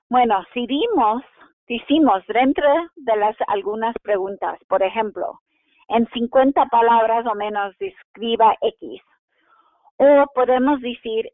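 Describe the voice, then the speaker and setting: A woman presents a webinar, reading each audience question and then explaining the answer at an even, measured pace. She now and then restarts a phrase. Her voice comes through a narrow, tinny phone-like channel that is completely dry. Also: on the high side, no echo. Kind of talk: translated webinar Q&A, read from script.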